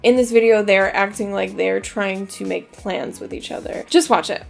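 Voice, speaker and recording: A woman's voice: mid-range voice, steady tone, moderate pace, vocal fry, a little mellow, faint music behind. A woman hosting a YouTube commentary video, chatty and self-deprecating, laughing often. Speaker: YouTube host.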